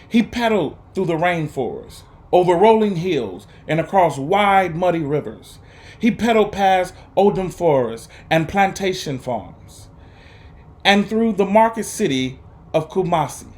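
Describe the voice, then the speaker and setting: Man, higher-pitched voice, very animated intonation, earnest storybook reader, emphatic phrasing. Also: unhurried. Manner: earnest, encouraging. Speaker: guest reader for students. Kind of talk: expressive children's storybook read-aloud.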